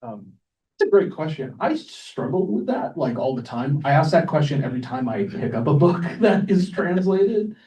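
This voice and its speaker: Mellow-voiced man in an echoey room. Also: fairly high pitch, very animated, normal speed. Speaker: panelist. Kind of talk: casual unscripted answer to an audience question. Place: echoing library event room. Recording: roomy, distant microphone.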